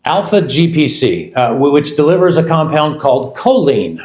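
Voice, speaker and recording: Fifties guy presenting at an event, kinda high voice, chatty, restarts a lot. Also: very animated, moderate pace, a little mellow. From United States. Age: 50s. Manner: conversational, repeats and restarts phrases, stresses words in lists. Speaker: product development executive presenting at a launch event. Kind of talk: product presentation to a live audience. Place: event hall.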